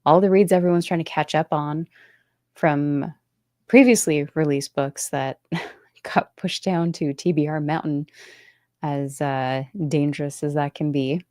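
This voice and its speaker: A woman's voice: low-pitched, a little slow, darkish tone, no echo, clean studio sound. A woman hosting a casual interview and chat about books. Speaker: host of a book interview show.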